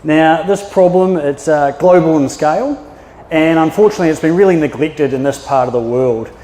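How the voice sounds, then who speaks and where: A man's voice: a little high, moderate pace, warm tone. Kiwi guy giving a talk at a club, lots of ums, sounds like a big echoey room. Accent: New Zealand. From New Zealand. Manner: chatty, anecdotal, many fillers and restarts. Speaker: maritime archaeologist giving a talk. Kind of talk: public presentation. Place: yacht club function room. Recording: echoey hall with long reverb.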